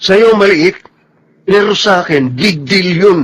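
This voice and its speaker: A jealous, wounded boyfriend with a Filipino accent. He speaks in a fairly high male voice, reproachful and solemn, in radio drama style. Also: animated, measured pace, slightly rough, exaggerated voice, low-quality recording. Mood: hurt, reproachful.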